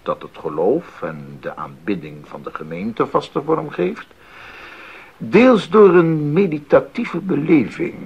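Slow, expressive, earnest composer. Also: male voice, mid-range voice, breathy edge, full character voice. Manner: measured, emphatic, formal. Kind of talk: 1980s radio drama acting. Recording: slightly dull 1980s radio recording.

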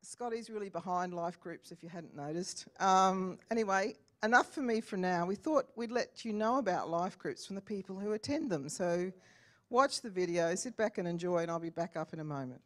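An older woman with a croaky voice, leading home groups, chatting to a church congregation from the stage on a handheld mic. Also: fairly low pitch, expressive, normal speed. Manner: chatty, warm greeting to congregation. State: hoarse, croaky. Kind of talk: church announcement.

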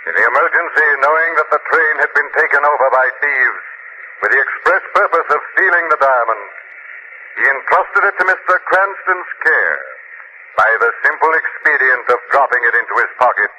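An actor playing a commanding, ominous invisible avenger with grave, declamatory delivery in old radio drama. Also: male voice, a little high, lively intonation, moderate pace, pushed and bright. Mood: solemn. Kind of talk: 1940s radio acting. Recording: noisy old radio recording.

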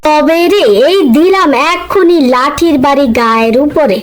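Woman acting as bitter cartoon bird, very high voice, sharp-tongued, scolding. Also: animated, normal speed. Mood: angry, scolding. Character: bitter, scolding female bird guarding her garden. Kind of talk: children's cartoon dialogue.

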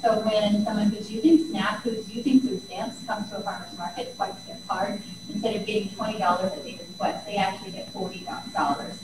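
A woman on a community panel, answering a question thoughtfully with fillers and pauses. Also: mid-range voice, steady tone. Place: large community hall. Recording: echoey hall, distant with hum.

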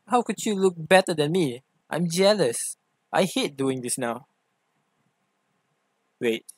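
Femboy boyfriend, male actor: higher-set voice, teasing, doting, quick to jealousy, expressive ASMR roleplay, dry close mic. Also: no room sound. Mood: jealous, flustered. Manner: teasing, gushing, expressive, drawn-out emphasis.

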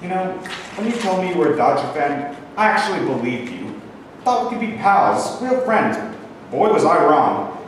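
Young guy playing a stubborn mouthy pow, slangy and combative, highish voice, super echoey far off mic. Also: big pitch swings, normal speed. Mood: hurt, defiant. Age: young adult. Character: brash, stubborn American POW, Brooklyn Dodgers fan. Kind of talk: staged play reading. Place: indoor rehearsal room, camera mic at a distance. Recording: very echoey room, distant mic, faint noise.

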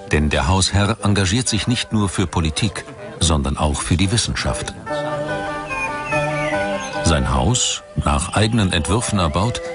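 A man narrating a scripted documentary in a measured, storytelling delivery. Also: sits low. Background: music.

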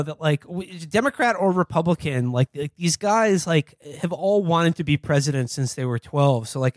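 A man's voice: on the high side, no room sound, studio quality. A man co-hosting a podcast, talking politics casually in a wry, discursive way. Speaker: podcast co-host. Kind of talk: casual comedic political chat.

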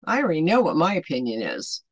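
A woman in her seventies teaching a Bible devotional to camera at home, earnest and deliberate, putting emphatic questions to the listener. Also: deep voice, sing-song, breathy edge, no echo. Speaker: online Bible study host. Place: small home room, indoors.

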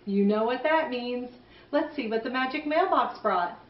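A middle-aged woman hosting for young children, reading stories in an animated way and asking the listener questions. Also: fairly high pitch, normal speed, echoey room, recorded from a distance, muffled recording, over loud music. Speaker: children's show host and storyteller. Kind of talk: children's storybook reading and chat to young viewers.

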